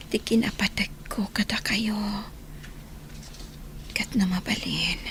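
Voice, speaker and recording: An actress with an Ilocano accent playing a frail, loving ailing wife in a radio drama, weak and breathy. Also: female voice, medium pitch, slight background noise. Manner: slow, faint, tender.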